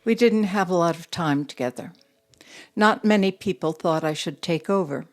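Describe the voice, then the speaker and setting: An actress playing a composed, guarded young widow who runs a steel mill, speaking in a measured, matter-of-fact way in an audio drama. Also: female voice, fairly low pitch, close to an everyday voice. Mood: reserved, matter-of-fact.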